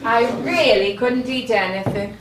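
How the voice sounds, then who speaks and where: An actress playing a polite, dutiful young goddess niece in a live stage play, courteous and measured. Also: female voice, a little high, a crowd in the background, natural voice. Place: live in a playhouse, far from the mic. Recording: distant, echoey stage with clear noise.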